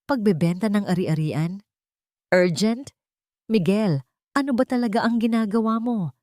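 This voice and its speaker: A woman's voice: medium pitch, lively intonation, a little slow, darkish tone, no echo, clean studio sound, voice pushed up, natural voice. A woman storyteller voicing an indignant woman who confronts her partner with pressing questions in an audio drama. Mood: accusing.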